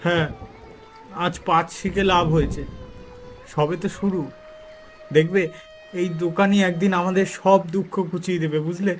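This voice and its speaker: A man plays a humble village father in a cartoon melodrama. His voice sits high for a male and sounds plaintive and anxious, often repeating words with feeling. Faint music runs underneath. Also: steady tone, normal speed, pauses often, close to an everyday voice. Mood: hopeful.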